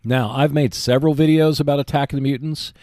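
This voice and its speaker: A man hosting a board game podcast, delivering a prepared monologue in a measured, clearly enunciated presenter style. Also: on the high side, steady tone, a little mellow, no echo, studio quality. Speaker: podcast host.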